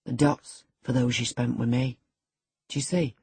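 Woman doing a sullen jealous farm lad for an audiobook, low n husky, surly, pushy. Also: fairly even intonation, moderate pace, warm tone, no room sound, full character voice. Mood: reproachful. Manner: surly, insistent. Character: sullen, morose, jealous sixteen-year-old boy, rough farm labourer.

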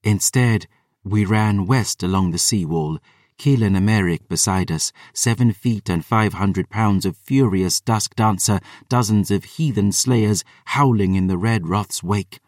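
A man's voice: fairly low pitch, a little slow, a little mellow, no echo, clean studio sound, recorded from a distance, close to an everyday voice. A man voicing a proud, battle-hardened female vampire warrior, telling of battle in an intense, grave, theatrical way. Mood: fierce, grim. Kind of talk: dramatic first-person storytelling.

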